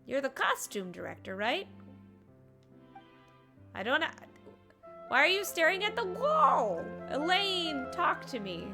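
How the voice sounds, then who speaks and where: A woman giving casual, expressive and playful reaction commentary as she streams a game. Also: sits high, takes it slowly, long pauses, music in the background.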